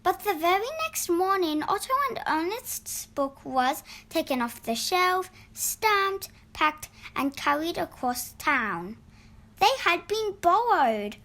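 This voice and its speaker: A woman narrating a children's storybook in an expressive, deliberate read-aloud style. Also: very high voice. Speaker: storybook reader.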